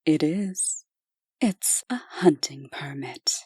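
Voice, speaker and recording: A cool angel huntress, acted by a woman for a comic dub. Her voice is deep and dark, and she takes her time. She is poised and teasing, with menace underneath. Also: lively intonation, slow, breathy edge, no echo, clean studio sound, heavily acted. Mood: smug, teasing.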